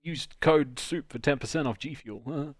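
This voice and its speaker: A man's voice: mid-range voice, a little slow, no echo, clean studio sound. A man hosting a live stream, chatting casually and joking with friends. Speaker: host of a live dubbing stream.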